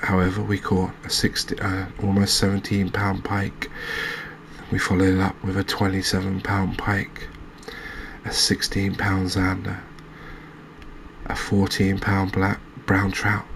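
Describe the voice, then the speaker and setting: A soft-spoken man narrating a gaming recap in a calming, hesitant ASMR style. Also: sits low, unhurried, vocal fry, a little hiss or hum. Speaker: host of a cozy gaming channel.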